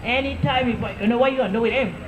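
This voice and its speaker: A woman's voice: on the high side, rapid-fire, a crowd in the background. An older woman with a Caribbean accent telling folk tales conversationally to a gathering, checking in with short questions. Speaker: storyteller. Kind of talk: oral folk storytelling and informal talk to a gathering. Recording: echoey room with clear background noise.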